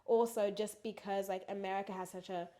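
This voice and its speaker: A woman's voice: mid-range voice, fairly quick. A young woman from South Africa with a South African accent, chatting as an interview guest with lots of filler words. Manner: chatty, many "like" fillers, lively and animated. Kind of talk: casual interview chat.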